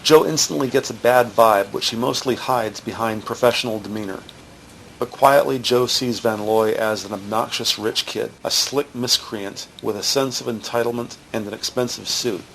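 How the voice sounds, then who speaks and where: A male narrator of an audio crime drama. His medium-pitched voice is full of gravelly creak, with punchy, sardonic delivery over clearly noisy ambience. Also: normal speed, fairly bright tone.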